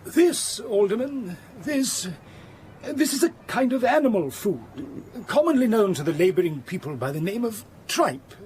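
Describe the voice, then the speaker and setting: An actor playing a fussy, accusing English political economist in a radio drama, pedantic and indignant, repeating himself for emphasis. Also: male voice, sits high, very animated, a little slow, a little mellow, a little hiss or hum.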